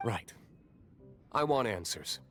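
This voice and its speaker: An actor playing a cool, guarded young tavern owner in a video game, speaking in a reserved, businesslike way. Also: male voice, on the low side, expressive, takes it slowly, pushed and bright, heavily acted. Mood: dry, stern. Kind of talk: video game cutscene acting. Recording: game music behind the voice. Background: background music.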